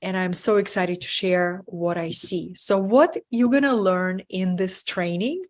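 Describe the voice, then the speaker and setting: A woman's voice: lowish voice, a little slow. A middle-aged woman from Russia with a Russian accent, presenting a live webinar as a spiritual business mentor in an earnest way and checking in with listeners with "right?". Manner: warm, earnest, often asks "right?" to the audience.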